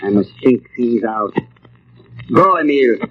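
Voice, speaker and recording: An actor playing a pompous, sinister mind reader who craves power, in the theatrical style of an old radio drama. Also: male voice, medium pitch, expressive, takes it slowly. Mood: haughty, scornful. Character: imperious, sinister male mind reader, megalomaniac turning panicked. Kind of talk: 1940s radio drama acting. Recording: muffled old radio recording, faint noise.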